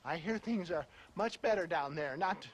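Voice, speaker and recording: A man plays a pompous older con-man peddling tonics, his voice pitched high and swooping all over with theatrical flair. He chuckles and wheedles through every line like a showman in a game cutscene. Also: animated, normal speed, a little hiss or hum, natural voice. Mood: theatrical scheming. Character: flamboyant old male con-man tonic salesman. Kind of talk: video game cutscene acting.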